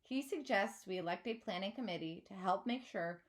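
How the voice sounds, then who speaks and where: A woman reading a children's novel aloud like a teacher, in an expressive storytelling manner. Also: mid-range voice, normal speed. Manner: clear storytelling with acted dialogue.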